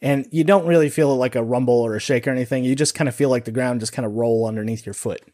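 A man's voice: medium pitch, fast talker, dark tone. A man in his forties with an American accent, chatting casually and sharing anecdotes. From Nevada, United States.

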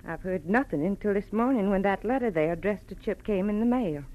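A woman's voice: mid-range voice, animated, natural voice. An actress playing a sweet, brave gray-haired mother, anxious and hesitant, in an old-time radio drama. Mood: worried, hopeful. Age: middle-aged. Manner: hesitant, halting, genteel. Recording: muffled vintage radio recording.

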